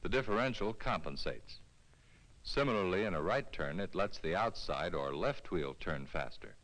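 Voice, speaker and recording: A man reading a scripted instructional film narration in a clear, measured, explanatory way, as on a 1970s educational film soundtrack. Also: low-pitched, lively intonation, a bit bright, poor audio.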